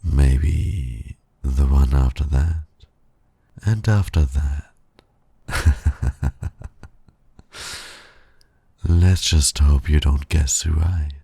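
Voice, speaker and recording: Casting: male actor for a suave villain lover in ASMR roleplay, extremely low, breathy voice, flirtatious and teasing. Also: warm tone, no room sound, full character voice. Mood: sly, teasing. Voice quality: gravelly, breathy. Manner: flirtatious, slow, purring, often dropping to a whisper. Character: suave, teasing male supervillain courting his hero nemesis.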